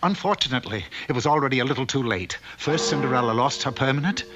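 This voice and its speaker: A man's voice: mid-range voice, animated, fast talker, noisy background, lightly acted. A man playing a droll storybook narrator, reading scripted fairy-tale narration for a cartoon. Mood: mock-solemn. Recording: dull lo-fi old cartoon soundtrack.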